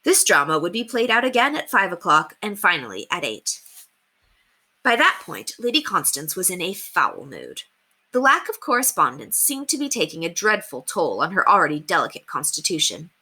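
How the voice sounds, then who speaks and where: A woman reading a children's story aloud as a teacher would, with deliberate emphasis on key words. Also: lowish voice, sing-song, pushed and bright, breathy edge. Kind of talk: expressive storybook read-aloud narration.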